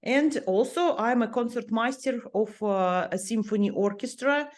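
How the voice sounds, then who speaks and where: A Russian-born woman, a violinist and music teacher, speaking English as a second language with a Russian accent, pausing often as she searches for words. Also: a little high. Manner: hesitant, searching for English words, many pauses. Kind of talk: personal interview talk.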